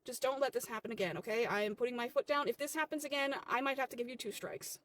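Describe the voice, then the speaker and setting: A woman playing a stern, condescending female general manager in a comedy skit, officious and measured. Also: a little high, a bit bright, close to an everyday voice. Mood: firm.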